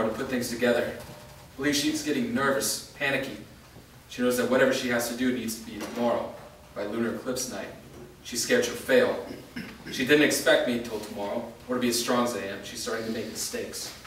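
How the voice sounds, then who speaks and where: Male actor as resolute half-vampire warrior in a fantasy stage reading, measured delivery, mid pitch, distant mic. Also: fairly even intonation, fairly bright tone, close to an everyday voice. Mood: measured, explaining. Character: wounded male part-vampire fighter, grave and resolute. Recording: echoey room, distant mic, faint noise.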